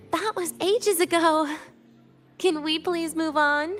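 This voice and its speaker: An actress playing a frail, guarded young student in a video game, speaking in a soft, halting, reserved way. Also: female voice, extremely high pitch, soft background music. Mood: dismissive, weary. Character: frail, guarded girl student, quietly attached to her friend.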